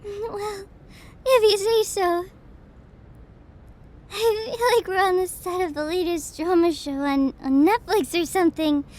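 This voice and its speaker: A woman playing an emotional, self-doubting young best friend in a roleplay audio drama, speaking in a soft, halting, vulnerable way. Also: extremely high pitch, steady tone. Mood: sheepish, amused. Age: young adult.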